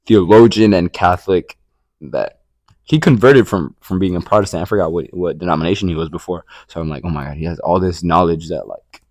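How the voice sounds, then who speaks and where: A man's voice: low-pitched, fairly quick, darkish tone, dry recording, clean studio sound. A young man from Rico, sharing his faith story in a rambling casual chat full of fillers and restarts. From Puerto Rico. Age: young adult. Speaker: podcast co-host.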